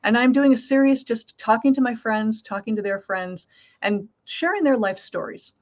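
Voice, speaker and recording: A woman's voice: a little high, moderate pace. A woman hosting a podcast interview, friendly and encouraging toward her guest. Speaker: host.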